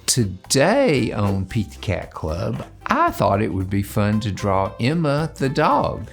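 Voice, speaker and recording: A man hosting a children's drawing show, talking in a gentle, unhurried, halting way as he thinks aloud through a casual lesson. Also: fairly low pitch, sing-song, vocal fry, reverberant, faint music behind.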